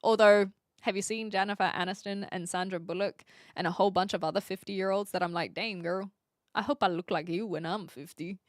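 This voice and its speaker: A woman's voice: mid-range voice, fairly even intonation, a little fast, no echo, studio quality. A young woman streamer in her twenties, from Australia, chatting casually and rambling as she reads out viewer comments, often giggling.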